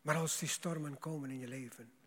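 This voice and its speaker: A man preaching a sermon to a congregation in a dramatic, emphatic way, repeating phrases, with a husky voice. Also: a little high, steady tone, brisk, fairly bright tone. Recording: echoing hall, long reverb.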